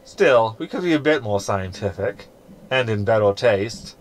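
An actor playing a scheming, sarcastic hologram in a sci-fi comedy audio drama, with an arch, wordy manner. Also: male voice, on the low side, animated, measured pace. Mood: smug. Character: scheming, sarcastic male hologram crewman, self-satisfied. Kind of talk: science fiction comedy audio drama acting.

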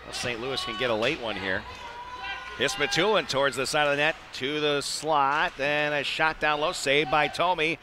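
A man's voice: a little high, moderate pace, noisy background. A man doing live play-by-play hockey commentary in clipped phrases with chatty asides. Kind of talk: live radio-style sports commentary. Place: indoor ice arena.

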